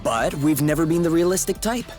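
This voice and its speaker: A man's voice: a little high, animated, brisk, darkish tone, faint music behind, lightly acted. A man playing an easygoing, reassuring young man in a video game, speaking casually and earnestly with a tentative, hopeful touch. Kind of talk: video game cutscene acting.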